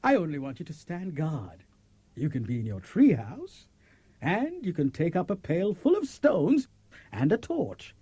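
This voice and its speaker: A man's voice: on the high side, wildly swooping pitch, unhurried, dark tone, slightly rough, no room sound, heavily acted. A man playing a kindly, fretful older farmer in an audiobook, coaxing and persuading. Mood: worried, persuasive. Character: kindly, worried older male farmer coaxing his cat. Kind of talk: audiobook character acting. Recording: cassette transfer with faint music.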